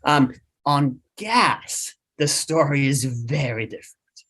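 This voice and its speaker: A man's voice: mid-range voice, slow, husky. Austrian guy explaining stuff on a podcast, lots of ums and right?s, medium voice. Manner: lively, explanatory, frequent "right?" and "um". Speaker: guest expert.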